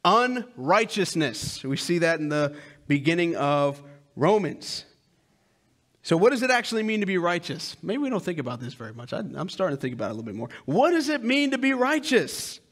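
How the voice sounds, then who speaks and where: A man in his thirties preaching a sermon on a church stage through a headset mic, with loud, emphatic lines and hushed asides. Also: high voice, very animated, a little fast. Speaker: pastor.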